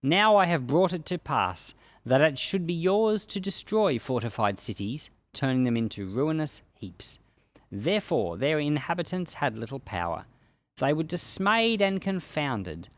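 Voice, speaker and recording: A man reading scripture aloud in a solemn, declamatory way, with deliberate emphasis on key phrases. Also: fairly high pitch, sing-song, dry recording. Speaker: pastor reading a Bible chapter aloud for a podcast.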